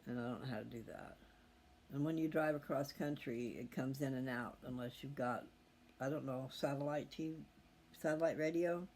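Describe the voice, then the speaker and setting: Folksy man with a gravelly creak. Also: mid-range voice, moderate pace, darkish tone. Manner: folksy, meandering, thinking aloud. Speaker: host of a scripture reading channel. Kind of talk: rambling casual chat to viewers. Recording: faint background noise.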